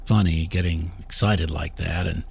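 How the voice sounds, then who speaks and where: A man's voice: low voice, fairly even intonation, normal speed, creaky, husky, exaggerated voice. A man reading a short story as a panicked, desperate small-town store owner, pleading and rattled. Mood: nervous, placating. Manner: frantic shouting, pleading, later shaky and weary. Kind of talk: audiobook character acting.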